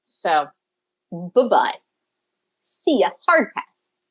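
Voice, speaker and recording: A woman's voice: lowish voice, big pitch swings, extremely slow, slightly rough, rough recording. A woman co-hosting a casual, opinionated review chat, gushing and emphatic, often repeating phrases for emphasis. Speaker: co-host of a drama review show.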